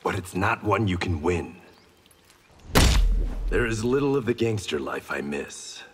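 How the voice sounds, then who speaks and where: A man's voice: deep voice, big pitch swings, unhurried. An actor playing a grave, stern veteran swordsman mentor in a fighting game. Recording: reverberant hall, loud background noise.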